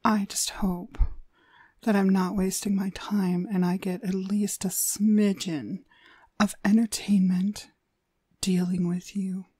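A woman's voice: mid-range voice, flat delivery, mellow, slightly rough, no room sound. A woman playing a cruel, domineering demonic dog trainer in an ASMR roleplay, contemptuous and commanding in her delivery. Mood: hushed contempt. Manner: contemptuous, commanding, slow and deliberate. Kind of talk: ASMR roleplay, frequent breathy whispering.

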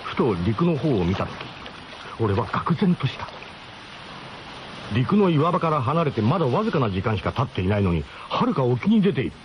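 Animated male radio drama narrator. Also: mid-range voice, normal speed, background noise. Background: music.

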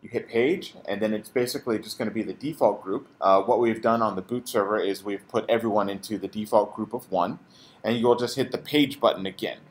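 Low-voiced man giving a tech tutorial. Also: fairly quick, a little hiss or hum. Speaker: tech support technician, presenter. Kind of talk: product tutorial demonstration.

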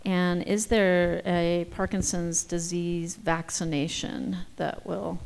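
Creaky-voiced woman moderating a panel. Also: on the low side, level tone, takes it slowly, a crowd in the background. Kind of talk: reading out audience questions. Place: auditorium stage. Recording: faint background noise.

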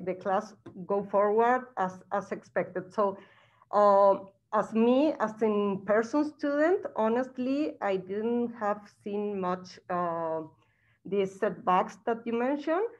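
A young woman from Chile speaks English, slowly and haltingly, full of uhs and restarts. She talks through a face mask into a laptop mic. The sound is dull and lo-fi, like a video call. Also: a little high, darkish tone. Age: young adult. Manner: halting, many uhs and restarts, non-native phrasing. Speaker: current graduate student. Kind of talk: answering questions on a video call. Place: bright indoor room, wearing a face mask. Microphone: laptop webcam mic.

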